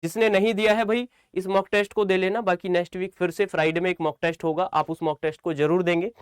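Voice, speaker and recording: A man's voice: sits high, fairly even intonation, fast, clear, resonant tone, no room sound, clean studio sound. A man giving informal instructional talk to students as a coaching teacher, repeating phrases for emphasis and often checking that they follow.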